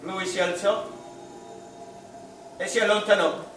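An actor playing an anguished, reflective English mathematician in a theatrical radio monologue, slow and dramatic, with pauses between short phrases. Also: male voice, sits high, fairly even intonation, fairly bright tone. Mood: intense, wounded. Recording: roomy, distant stage-like sound, music behind.

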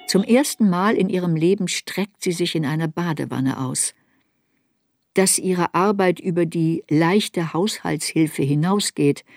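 Woman reading literary radio play narration, measured n reflective, music playing under it. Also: sits low, a little mellow, no echo.

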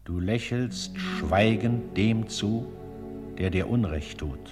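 A man's voice: on the low side, slow. A man giving solemn, reverent poetic narration in a declamatory manner, as in a radio play. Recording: long reverb over music.

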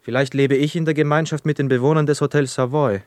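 A man's voice: fairly high pitch, fairly even intonation, quick pace, a little mellow, no echo, lightly acted. A man playing a lonely, self-absorbed young war veteran in a radio play, cool and melancholy yet earnest and insistent. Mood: reflective.

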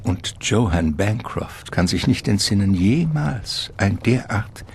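A man's voice: fairly low pitch, expressive, slow pace, a little hiss or hum. A man narrating a radio drama as a scripted literary storyteller. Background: music.

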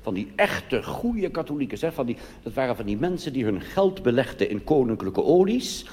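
A man's voice: mid-range voice, big pitch swings, moderate pace, a little mellow. A man performing a live comic monologue as a cabaret artist, conversational with wry asides, in a reverberant theatre. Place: theatre stage.

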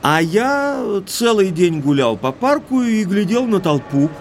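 An actor playing a young, carefree aristocratic heir in an audio drama, with an open, lively way of speaking. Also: male voice, sits high, measured pace, a little hiss or hum. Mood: breezy, frank.

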